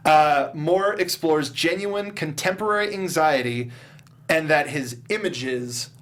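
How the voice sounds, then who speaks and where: A man with a slightly high voice hosts a casual movie review panel. His delivery is conversational, with a joking, sarcastic edge. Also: slow, a bit bright. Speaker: host of a movie review show.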